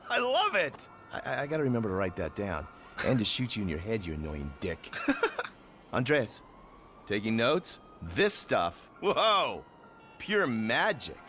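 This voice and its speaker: An actor playing an arrogant, bullying American federal agent in a video game, smug and aggressive. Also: male voice, mid-range voice, sing-song, a little slow, sharp tone, a little hiss or hum. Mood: smug, mocking. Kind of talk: video game cutscene acting.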